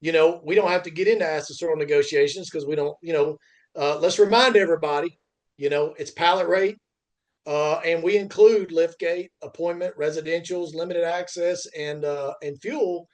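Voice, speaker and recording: A middle-aged man hosting a casual podcast. His voice sits a little higher than average for a man. He rambles, repeating himself and looping back over his phrases as he builds a point. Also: fairly even intonation, moderate pace. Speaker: podcast host, freight industry veteran.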